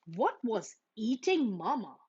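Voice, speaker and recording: Animated, high-voiced woman reading a storybook. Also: takes it slowly, breathy edge. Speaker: storybook reader for schoolchildren. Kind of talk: expressive children's read-aloud narration. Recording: dull, lo-fi sound.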